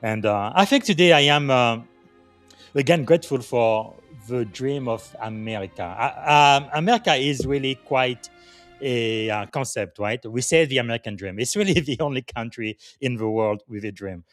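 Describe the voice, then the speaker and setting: A man from Congo hosting a live podcast, leading a gratitude moment in an earnest, reflective way with halting phrases. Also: mid-range voice, faint music behind. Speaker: podcast host.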